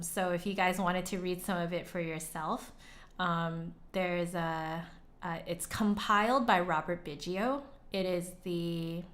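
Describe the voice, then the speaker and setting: A woman hosting a livestream, talking casually and reflectively with her chat in an informal, conversational way. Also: lowish voice. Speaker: livestream host and flutist.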